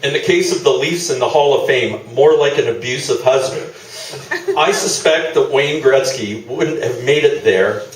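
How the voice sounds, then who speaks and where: Skeptical, needling interviewer played by a male actor, mid-pitched, dry comic delivery, roomy live theatre sound. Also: moderate pace, close to an everyday voice. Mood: challenging. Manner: dry, sardonic, challenging. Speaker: radio play actor. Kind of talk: comic radio play performed live on stage. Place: small theatre, live performance. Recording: echoey theatre, distant mic, noisy.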